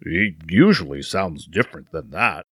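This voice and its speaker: A man playing a dim, eager, childlike villain's henchman, earnest and simple, with a rough voice. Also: sits low, very animated, moderate pace, pushed and bright, no room sound, studio quality, full character voice. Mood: plain, slow.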